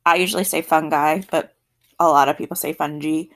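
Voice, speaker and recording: A woman's voice: low voice, fairly even intonation, a little fast. A woman teacher with a South Jersey accent giving a recorded science lesson, explaining in a friendly, chatty way and correcting herself as she goes.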